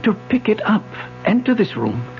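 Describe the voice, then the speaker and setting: A man's voice: sits high, very animated, moderate pace, gravelly, heavily acted. An actor playing a retired older male physics professor, obsessive and insistent, in a radio drama lecturing style. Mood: urgent. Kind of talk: 1970s radio drama acting. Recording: muffled old radio broadcast with music.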